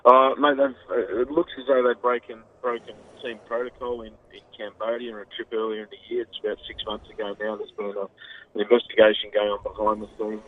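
A man's voice: fairly low pitch, level tone, a little fast. Australian man on a tinny phone line. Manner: enthusiastic, rambling with many fillers. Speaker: football pundit. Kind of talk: radio phone-in commentary.

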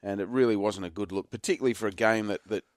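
Man, croaky, radio football pundit, lowish voice, dry studio sound. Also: dry recording. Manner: measured, informative, self-correcting. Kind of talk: radio sports segment.

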